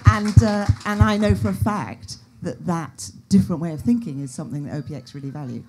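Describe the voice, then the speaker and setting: A woman chairing a panel discussion, briskly thanking speakers and moving things along. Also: lowish voice, over music.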